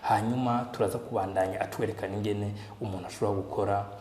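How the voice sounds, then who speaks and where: A man's voice: fairly low pitch, fairly even intonation, very fast, a little mellow, echoey room. A Burundian man hosting an online show, emphatic and mixing in French and English phrases. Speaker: host of a business channel. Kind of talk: presenting an online show, introducing a guest.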